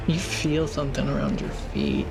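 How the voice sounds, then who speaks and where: A man narrating a tabletop game as game master, with a relaxed, descriptive manner. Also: mid-range voice, normal speed. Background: music score.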